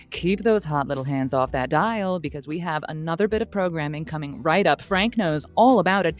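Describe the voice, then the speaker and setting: Radio comedy casting: a cheeky late-night advice hostess, low-pitched, cooing and familiar with her listeners. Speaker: radio advice show host. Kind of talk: radio show hosting, scripted comedy.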